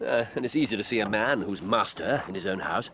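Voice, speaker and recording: A man's voice: lowish voice, lively intonation, brisk, fairly bright tone, slight background noise. An actor playing a shrewd, eccentric British detective in a period drama, speaking precisely and theatrically as he thinks aloud. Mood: feigned pain, wheedling. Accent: British English. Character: shrewd, eccentric male detective, keen and secretive.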